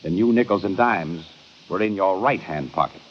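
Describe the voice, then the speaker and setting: An actor playing a shrewd, authoritative police inspector in an old radio crime drama, speaking deliberately and accusingly. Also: male voice, low voice. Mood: measured, knowing. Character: shrewd, commanding male police inspector exposing a killer. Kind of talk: 1950s radio drama acting. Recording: muffled, hissy old radio broadcast.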